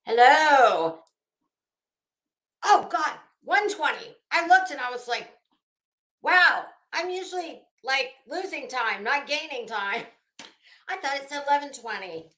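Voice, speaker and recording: A woman's voice: high-pitched, expressive, slow pace, pauses often, pushed and bright. A woman hosting a casual solo stream, rambling in an exclamatory, sardonic way. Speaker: host. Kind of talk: casual solo livestream chat. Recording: roomy, echoey room sound.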